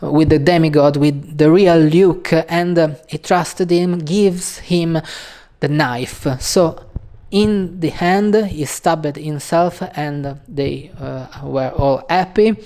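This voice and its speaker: Italian lady talking thru a book on her channel, lots of uh and um, mellow low voice. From Italy. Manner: hesitant, halting, many pauses and fillers. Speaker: channel host. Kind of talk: casual video talk on a book.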